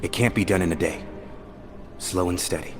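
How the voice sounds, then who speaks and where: An actor playing a patient, level-headed man in a video game, measured and matter-of-fact. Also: sits low, close to an everyday voice. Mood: calm, advising. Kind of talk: video game cutscene voice acting.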